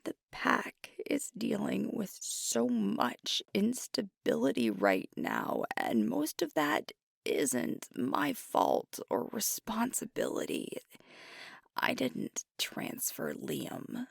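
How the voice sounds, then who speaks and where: A woman playing a young, earnest, self-doubting alpha werewolf pack leader in an intimate ASMR roleplay, speaking softly and hesitantly in a breathy half-whisper. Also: mid-range voice, huge pitch swings, dry recording, studio quality, full character voice. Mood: doubting, troubled. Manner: hesitant, confiding, slow and reflective. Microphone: close mic, dry.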